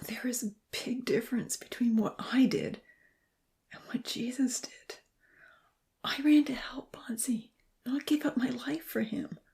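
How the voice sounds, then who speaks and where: A woman voicing a young burned man who is anguished and crying, his breathy whisper breaking into sobs. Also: on the high side, lively intonation, a little slow, warm tone, voice pushed up.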